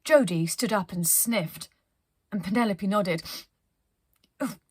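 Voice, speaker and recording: A woman narrating a children's story as a storyteller, in an expressive read-aloud style. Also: medium pitch, measured pace, husky, dry recording, studio quality.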